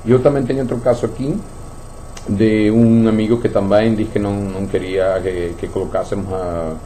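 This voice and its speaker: A radio talk host, a man whose Portuguese carries Spanish slips from growing up in Venezuela. His voice is deep-toned and very mellow, with a faint hum behind it. He talks in loose, repetitive runs, restarting phrases as he thinks aloud. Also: steady tone, normal speed. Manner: repeats words, thinks aloud with many restarts.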